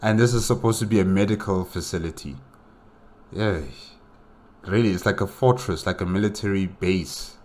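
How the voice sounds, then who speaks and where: A man's voice: low-pitched, normal speed, a little mellow, a little hiss or hum. A man hosting a casual solo reaction video, commenting incredulously with rhetorical questions.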